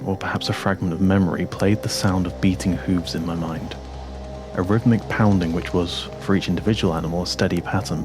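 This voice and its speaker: A man reading a scripted dark science fiction story as its narrator, in a measured, deliberate literary way. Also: deep voice. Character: introspective four-legged machine with a human pilot's mind. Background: music under the voice.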